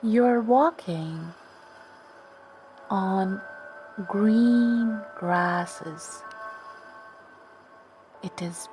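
Very slow, soothing woman narrating sleep meditation. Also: medium pitch. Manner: soothing, gentle, unhurried with long pauses. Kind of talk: guided sleep meditation storytelling. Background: background music.

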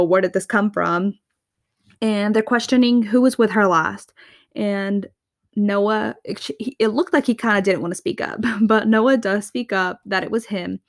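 A woman's voice: medium pitch, no echo, clean studio sound. Chatty woman recapping reality TV. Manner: chatty, gossipy, laughs often. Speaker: podcast host. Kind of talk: casual recap podcast.

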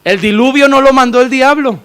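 Man, pastor, high-pitched, fiery sermon, loud and soft turns. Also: fairly quick, clean tone. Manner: impassioned preaching, swings between shouting and low quiet asides.

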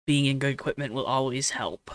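A man's voice: mid-range voice, brisk, a bit bright, no room sound, studio quality. A man co-hosting a casual racing podcast, rambling along with frequent ums and restarts. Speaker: podcast co-host. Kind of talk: casual motorsport chat.

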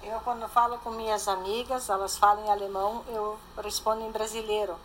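A woman's voice: medium pitch, little pitch movement, brisk, a little hiss or hum, poor audio. An older woman, a guest speaking plainly and matter-of-factly in casual conversation. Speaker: interview guest. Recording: faint background ambience.

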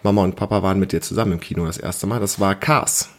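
A man's voice: deep voice, fairly even intonation, fast. Encouraging man chatting as podcast host. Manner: encouraging, explanatory, prompting with questions. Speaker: podcast host, father interviewing his child. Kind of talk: casual family podcast chat.

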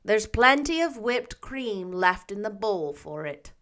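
A woman's voice: medium pitch, sing-song, slow, a bit bright. A woman reading a story, voicing a strict older woman guardian who scolds and gives firm commands. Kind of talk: audiobook character reading.

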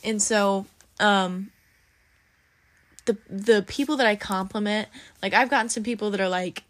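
A woman's voice: mid-range voice, steady tone, measured pace, a bit bright. A young woman co-hosting a casual chat, talking about her drive-thru job in a loose, chatty way with lots of fillers. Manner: chatty, lots of "like", acts out quoted voices. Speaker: podcast co-host, drive-thru worker.

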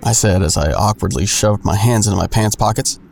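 Gravelly, low-voiced sardonic narrator. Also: male voice, brisk, darkish tone, slight background noise. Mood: wry, confiding. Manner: wry, self-deprecating, crude humor. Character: sardonic, nosy man narrating his own misadventures. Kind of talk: first-person scripted narration.

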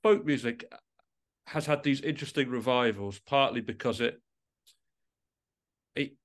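A man gives a thoughtful, conversational interview as a musician and composer. He talks reflectively at a middling pitch, often pausing mid-thought and rephrasing as he works out what he means.

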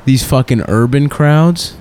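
A man's voice: medium pitch, measured pace, a little mellow. A man co-hosting a comedy podcast, telling crude stories in a rambling, joking, casual way.

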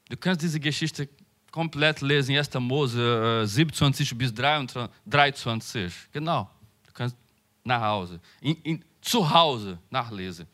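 A male pastor preaching a sermon with emphatic delivery and quieter asides, often halting to search for words. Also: mid-range voice.

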